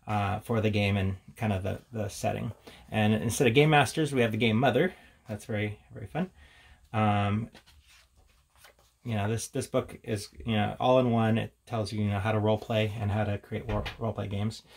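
Casual man with a creaky voice. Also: fairly low pitch. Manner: hesitant, informal, thinking aloud. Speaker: host of a tabletop gaming channel. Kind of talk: casual unscripted product walkthrough.

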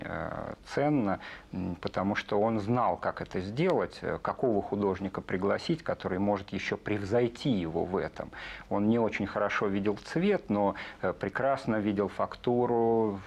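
A middle-aged man giving reflective interview commentary, halting as he searches for words. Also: on the low side, creaky. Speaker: documentary interviewee on animation art. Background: background music.

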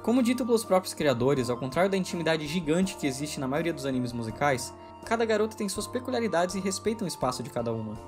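Fast, wry male video essay narrator. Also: a little high, very animated, music in the background. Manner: brisk, opinionated, wry delivery.

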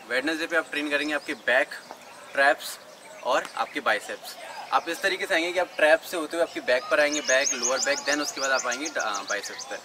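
A man's voice: fairly high pitch, background noise. A young man in his twenties giving casual workout advice on an outdoor rooftop, chatty and peppered with fillers. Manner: chatty, mixes English into Hindi, frequent "uh" fillers. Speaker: fitness YouTuber. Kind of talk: casual instructional vlog. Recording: outdoor recording with clear birdsong. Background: birdsong and outdoor ambience.

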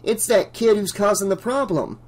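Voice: medium pitch, normal speed, slightly rough, pitched above the performer's own voice, close to an everyday voice. A narrator voicing a well-meaning young caroler in a storybook, casual and earnest.

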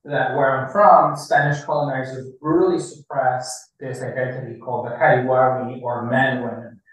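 A man's voice: mid-range voice, steady tone, moderate pace. A middle-aged man from Ecuador giving a public lecture, explaining step by step and often checking in with "right?". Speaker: professor giving a public talk. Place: library meeting room. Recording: echoey room from far off.

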